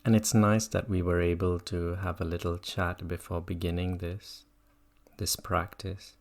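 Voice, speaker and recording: Very slow, low-pitched meditation guide. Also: little pitch movement. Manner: gentle, unhurried. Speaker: meditation teacher.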